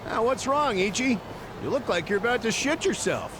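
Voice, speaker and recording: A man's voice: very high voice, big pitch swings, normal speed, noisy background. An actor voicing a teasing, crude man on the street in an English-dubbed game.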